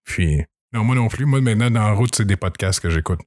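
A man with a Québécois accent hosting a podcast, talking in a relaxed, conversational way. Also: fairly low pitch, a little fast, no room sound, clean studio sound. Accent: Québécois French. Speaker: podcast host. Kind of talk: casual podcast chat.

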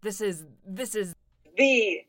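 A woman with a mid-range voice talks about her time recording in a relaxed way. She pauses and uses filler words often. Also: mid-range voice. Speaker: voice actor. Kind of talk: casual chat.

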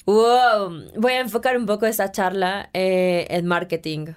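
A woman's voice: mid-range voice, fairly even intonation, a little slow, clean tone. A woman guest in a podcast studio, chatting playfully, laughing often and slipping in English words. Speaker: guest artist. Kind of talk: casual interview chat.

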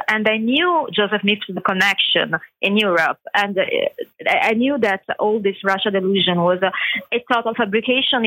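A woman's voice: mid-range voice, a bit bright, no echo, low-quality recording. A woman from Italy with an Italian accent, speaking as a radio guest in an impassioned, emphatic way. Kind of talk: interview answers.

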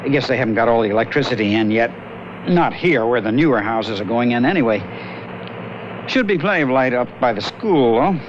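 A man's voice: medium pitch, expressive, a little fast, natural voice. A man playing a dry, teasing skeptic. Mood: wry. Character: wry skeptical man, always wanting a drink. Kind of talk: 1970s radio drama acting. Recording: lo-fi old radio broadcast, faint hiss.

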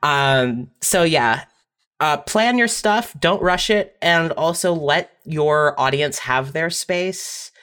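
Audio fiction writer, middle register, conversational, reflective, halting delivery with emphatic rephrasing. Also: medium pitch, fairly bright tone, clear, resonant tone. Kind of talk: casual, reflective panel discussion.

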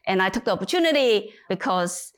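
Woman executive raised in Hong Kong, mid-pitched, lively, laughs often and repeats for emphasis. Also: a little fast. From China, raised in Hong Kong. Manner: animated, laughs easily, emphatic repetitions. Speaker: CEO guest. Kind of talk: business interview.

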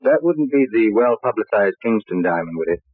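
A sardonic police inspector in a vintage radio drama, heard through a narrow, tinny old recording. He is clipped and quick, with swings of pitch in his questioning. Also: animated, no room sound. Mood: dry, probing. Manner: brusque, clipped, dryly sardonic. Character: brisk, sardonic male police homicide inspector. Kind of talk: old-time radio crime drama acting.

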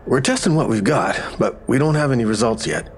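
An actor playing a wry, matter-of-fact medical examiner who explains with morbid humor in an audio drama. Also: male voice, medium pitch, lively intonation, brisk, slightly rough.

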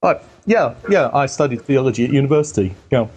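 A man from Denmark with a husky voice, chatting casually as a self-deprecating interview guest. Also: medium pitch, expressive, fast, a little mellow. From Denmark, has lived in the UK most of his life. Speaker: guest, paranormal researcher and theologian.

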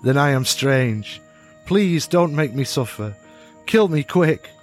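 A man voicing a timid, soft, furry female beast, trembling and pleading in a storybook tale. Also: medium pitch, animated, takes it slowly, faint music behind, pitched above the performer's own voice. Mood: fearful, meek.

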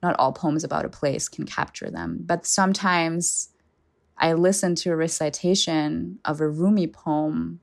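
A young woman poet who grew up in Germany, with German as her first language, speaking in a measured, thoughtful way and pausing to search for words. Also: low voice, expressive, gravelly, no room sound, clean studio sound. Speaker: poet guest. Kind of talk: reflective conversation.

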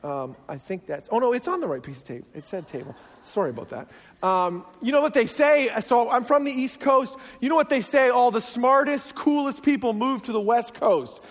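High-voiced joking man with a Canadian accent. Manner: self-deprecating, joking. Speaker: guest pastor. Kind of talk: humorous sermon. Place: large church sanctuary. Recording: long church hall reverb.

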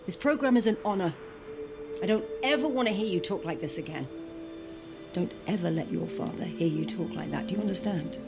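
A woman's voice: lowish voice, expressive, fairly quick, loud music behind the voice. A woman playing a stern, controlling mother in an audio drama, commanding and scolding. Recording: clearly noisy ambience behind.

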